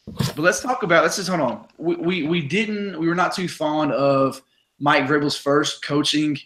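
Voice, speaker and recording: A young man with a raspy voice, hosting a casual sports podcast and telling stories in an energetic, chatty way full of fillers. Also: on the high side, moderate pace. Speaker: podcast host.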